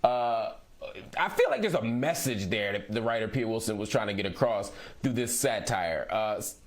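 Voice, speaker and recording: A man's voice: fairly low pitch, lively intonation, normal speed. A middle-aged man hosting an interview, conversational with playful asides. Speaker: interview host.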